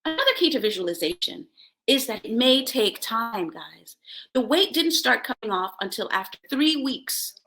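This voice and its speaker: A woman's voice: a little high, sing-song, moderate pace, sharp tone. A woman hosting a self-help show, giving a motivational talk to viewers with personal stories, in an emphatic way that sometimes drops toward a whisper.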